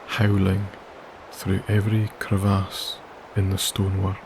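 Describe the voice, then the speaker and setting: A man narrates a sleep story in a soft, soothing voice, low and nearly flat in pitch. He speaks very slowly, leaving long pauses between phrases. A steady background hiss sits under his voice. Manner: slow, soothing, gentle delivery with long pauses. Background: wilderness ambience.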